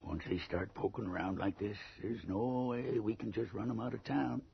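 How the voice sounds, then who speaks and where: An actor playing a sardonic, evasive small-town local with a dry, folksy manner in a radio drama. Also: male voice, fairly low pitch, fairly even intonation, moderate pace, gravelly. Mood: dry, guarded. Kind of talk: 1980s radio drama acting. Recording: muffled, hissy old radio recording.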